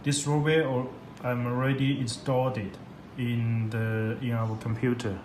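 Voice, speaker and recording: Male product demonstrator, halting non-native English, instructional step-by-step delivery, roomy sound with clear background noise. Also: medium pitch, flat delivery. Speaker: product demonstrator for a radio seller. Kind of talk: step-by-step software tutorial. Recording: echoey room, loud background noise.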